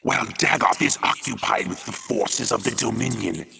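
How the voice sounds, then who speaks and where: An actor playing a stern, haughty alien brood commander in a video game, with a rasping growl and formal, archaic warnings. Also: male voice, medium pitch, normal speed, heavy background noise. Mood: grave warning. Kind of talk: dramatic video game voice acting.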